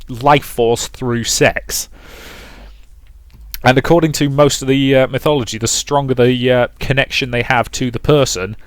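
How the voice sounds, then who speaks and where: A man's voice: medium pitch, no room sound. A man hosting a let's play, moving between dramatic visual novel narration and casual commentary, close on the mic.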